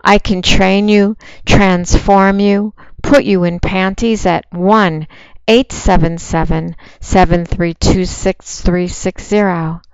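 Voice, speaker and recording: A woman speaks in a soft, commanding voice at a slow, deliberate pace, every word very clean and crisp. She sounds fully in charge. The recording is dry and close but dull, with a muffled lo-fi sound. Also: mid-range voice, steady tone, no echo. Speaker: phone sex operator. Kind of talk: promotional monologue.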